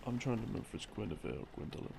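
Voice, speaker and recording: A man giving a halting, unhurried sleep-aid ramble, explaining things from memory and trailing off as he muses. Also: lowish voice, very animated, vocal fry, background noise. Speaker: audio creator playing a rambling boyfriend. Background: soft background music.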